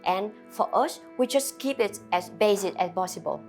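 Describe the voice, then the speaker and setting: A woman from Vietnam with a Vietnamese accent, a restaurant owner answering interview questions in halting, simple non-native English with many fillers. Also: medium pitch, lively intonation, gravelly, darkish tone, faint music behind.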